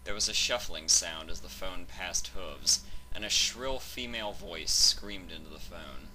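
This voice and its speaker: A man's voice: deep voice, measured pace, bright, forceful tone, slight background noise, low-quality recording. A man reading scripted fan fiction narration as the storyteller.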